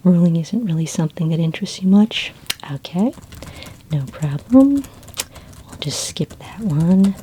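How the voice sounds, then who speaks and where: A woman speaking in a soft, breathy near-whisper, gently and unhurriedly playing a friendly, helpful librarian in an ASMR roleplay. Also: fairly low pitch, lively intonation, measured pace, slight background noise.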